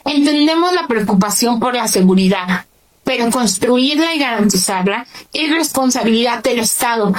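Female activist, higher voice, Mexican accent, delivering a prepared advocacy statement. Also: low-quality recording.

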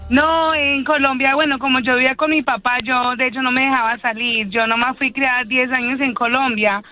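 A high-voiced woman calls into a radio show, speaking frankly and with a laugh in her voice. Her line sounds dull and muffled. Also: fairly even intonation, a little fast, soft background music. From United States. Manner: bold, laughing. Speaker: caller. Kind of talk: phone-in chat.

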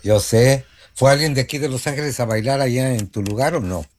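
Brief: male radio host, mid pitch, chatty studio delivery. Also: a little hiss or hum. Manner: chatty, repeats phone numbers slowly. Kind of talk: morning radio talk and sponsor reads.

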